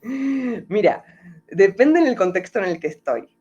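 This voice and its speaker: Woman, Argentine Spanish accent softened by Mexico, music therapist guest on a video call; thoughtful, searching for words. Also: mid-range voice, big pitch swings, measured pace, husky, highs cut off. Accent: Argentine Spanish, softened toward Mexican. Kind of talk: reflective interview answers. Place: home in Mexico.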